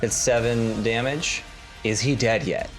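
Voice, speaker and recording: A man of medium pitch talks through his moves in a tabletop game. His delivery is slow and conversational. Music is clearly heard behind him. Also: steady tone. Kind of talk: casual chat, narrating character's moves.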